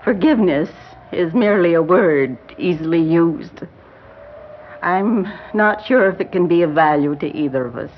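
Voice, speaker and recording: A woman's voice: fairly low pitch, expressive, moderate pace, slight background noise, natural voice. An actress playing a proud, grieving old grandmother in a 1960s American radio drama, speaking formally and emphatically. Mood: grieving, pleading. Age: older. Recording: dull vintage radio recording.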